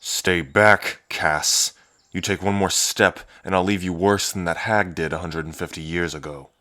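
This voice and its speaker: A man's voice: fairly even intonation, measured pace, bright, forceful tone, dry recording. A man playing a cocky, teasing dragon prince and protective big brother in an ASMR audio drama. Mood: defiant, protective. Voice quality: deep, low. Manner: smug, flirtatious, teasing, sweary.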